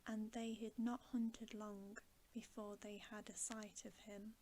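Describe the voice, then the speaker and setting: A woman reading a bedtime story for ASMR in a soft, gentle, breathy voice that often drops to a whisper. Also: mid-range voice, little pitch movement, a little hiss or hum. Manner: hushed, unhurried, gentle. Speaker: ASMR reader.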